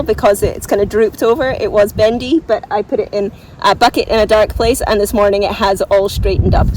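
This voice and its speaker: A woman giving a casual, unscripted garden tour to viewers, enthusiastic and informal, thinking aloud with a self-deprecating streak. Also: medium pitch. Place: outdoors in a flower garden. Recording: distant mic, outdoor background noise.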